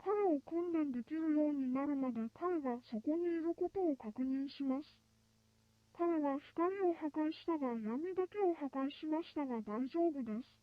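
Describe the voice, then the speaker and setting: Dull lo-fi tape, high-pitched woman as researcher. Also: fast, dry recording, close to an everyday voice. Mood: formal, detached. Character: formal female researcher reporting on a captured monster. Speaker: narrator of a found research tape. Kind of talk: formal scripted report.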